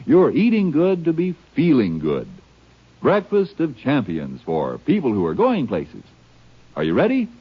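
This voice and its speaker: A man's voice: medium pitch, wildly swooping pitch, a little slow, slightly rough. A man doing folksy, emphatic sales patter as a commercial announcer on vintage radio. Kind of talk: vintage radio cereal advertisement. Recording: muffled old radio recording.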